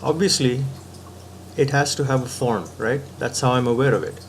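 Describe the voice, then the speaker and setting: A man's voice: mid-range voice, normal speed, slight background noise. A man giving a patient philosophical talk, reasoning step by step and often checking in with the listener. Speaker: spiritual teacher.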